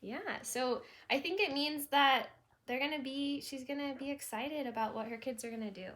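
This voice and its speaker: A woman reading a storybook aloud for children, with chatty, simple asides and wondering out loud. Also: high voice, moderate pace. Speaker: storybook reader, teacher.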